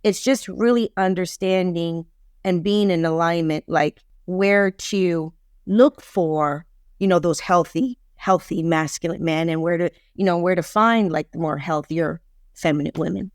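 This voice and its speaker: A woman's voice: fairly low pitch, measured pace, clean tone, no echo. Emphatic woman in her fifties, dry sound. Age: 50s. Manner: emphatic, repeats phrases for stress. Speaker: podcast guest, relationship expert. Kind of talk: casual, opinionated podcast conversation.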